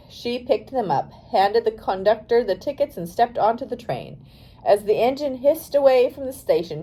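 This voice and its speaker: A woman's voice: mid-range voice, mellow, low-quality recording. A woman narrating a storybook as an audiobook reader, carefully reading aloud with an occasional stumble over the text.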